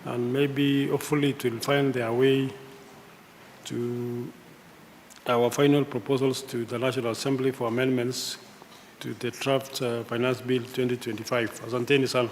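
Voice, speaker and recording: A man's voice: mid-range voice, little pitch movement, normal speed. A man chairing a parliamentary hearing, formal and instructive as he directs the speakers. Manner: formal, instructive, slightly stern. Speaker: committee chair. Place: large hearing room. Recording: long hall reverb, faint room noise.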